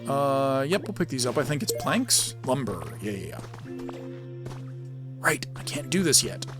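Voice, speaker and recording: A man giving casual, rambling gameplay commentary, thinking out loud as he plays. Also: medium pitch, sing-song, measured pace, over music. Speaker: gaming video host and amateur voice actor. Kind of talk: casual gameplay commentary with read-aloud game text.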